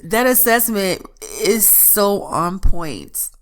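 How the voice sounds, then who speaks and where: A woman's voice: medium pitch, lively intonation, takes it slowly. A woman hosting a solo podcast in a chatty, earnest way, her voice stuffed up from allergies. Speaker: podcast host. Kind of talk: casual solo podcast talk.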